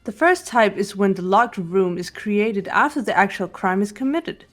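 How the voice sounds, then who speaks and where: A woman voicing a composed, analytical teenage girl detective in a fan-voiced mystery game, speaking in a deliberate, precise way. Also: medium pitch, expressive, soft background music, lightly acted. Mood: calm, analytical. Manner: deliberate, precise, lecturing delivery. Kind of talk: fan voice-over of a mystery visual novel.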